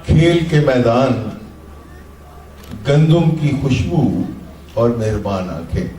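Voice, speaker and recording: Male poet at a live reading: dark, soft-edged tone, deliberate phrasing, captured at a distance from the mic. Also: mid-range voice, expressive. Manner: measured, deliberate phrasing with repeated lines and pauses. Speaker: poet reciting own poems. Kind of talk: live Urdu poetry recitation, free verse with repeated lines. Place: literary festival session, recorded live. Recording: roomy, distant-miked live event recording.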